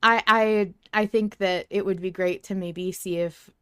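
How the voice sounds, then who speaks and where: A woman's voice: medium pitch, fairly even intonation, a little slow, fairly bright tone, smooth, no echo, studio quality. A woman hosting a casual fan podcast, chatting with joking, mock-stern banter. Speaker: podcast host.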